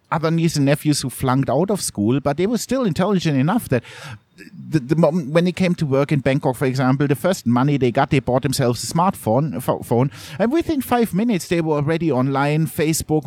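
A middle-aged man from Germany, a journalist being interviewed, talking in a halting way with many restarts and repeated words. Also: on the high side, a little mellow, no echo. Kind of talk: candid conversational interview.

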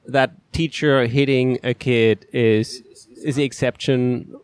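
A man interviewing a guest, asking measured, thoughtful questions in a conversational way. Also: mid-range voice, fairly even intonation, clear, resonant tone, dry recording.

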